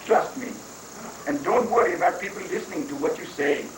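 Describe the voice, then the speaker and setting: A man's voice: high-pitched, fairly quick, close to an everyday voice. A man playing a glib, unruffled guru who hands out mock-wise reassurance in an amateur reading of a stage satire. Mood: reassuring. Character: guru counselling troubled government officials, unruffled and glib. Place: room at a live library reading. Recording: distant, echoey room recording with faint noise.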